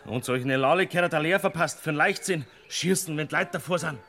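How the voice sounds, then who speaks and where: A cheeky young Bavarian farmhand. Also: male voice, on the high side, a little fast, pushed and bright, soft background music. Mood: mocking. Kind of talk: classic radio play acting.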